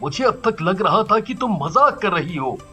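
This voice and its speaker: A man's voice: high voice, big pitch swings, moderate pace, pushed and bright, husky, full character voice. A man voicing a devoted, indignant husband in a cartoon family drama, with music behind him.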